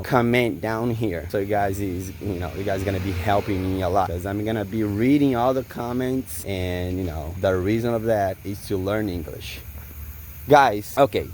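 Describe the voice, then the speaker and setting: A man in his forties with a Brazilian Portuguese accent talks to the camera in a fairly low voice. He speaks halting English, stopping often to find his words. Faint outdoor noise sits behind him. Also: darkish tone. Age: 40s. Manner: halting, searching for words, many fillers; just back from a jog. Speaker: vlogger. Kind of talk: casual vlog to camera. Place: outdoors in a park.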